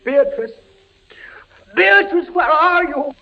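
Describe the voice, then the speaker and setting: An actor playing a fevered, tormented captive captain in halting, melodramatic 1940s radio style, swinging from murmurs to cries. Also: male voice, extremely high pitch, expressive, vocal fry, faint music behind, full character voice. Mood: frantic. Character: tormented, fevered male prisoner, a condemned army captain. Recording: dull, noisy 1940s radio recording.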